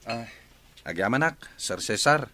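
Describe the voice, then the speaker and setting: Man voicing polite young man, lively intonation, deferential. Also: medium pitch, measured pace, slight background noise. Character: polite young man, respectful family friend.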